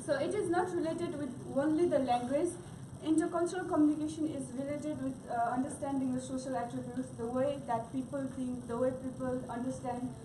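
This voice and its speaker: A woman's voice: sits high, steady tone, a little fast, hardly any pauses. Nepali-accented young woman doing a class talk, lots of uhs and restarts, noisy far-off recording. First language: Gurung. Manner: hesitant, many fillers and restarts. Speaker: student presenter. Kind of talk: class presentation. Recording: echoey room from far off, noisy.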